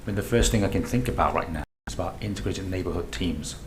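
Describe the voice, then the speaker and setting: A man with a very low voice makes an earnest point to a board meeting. He speaks emphatically in a formal register. Clear background noise runs under the recording. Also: quick pace. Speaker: board member. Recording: noisy meeting-room recording.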